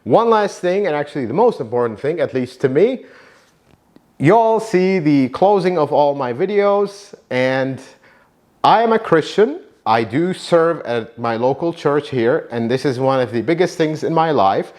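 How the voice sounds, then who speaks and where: Earnest man from Iraq thanking viewers. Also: measured pace. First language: Arabic. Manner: earnest, warm gratitude, lively pitch swings. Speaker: YouTube channel host, car mechanic. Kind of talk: heartfelt thank-you talk to viewers.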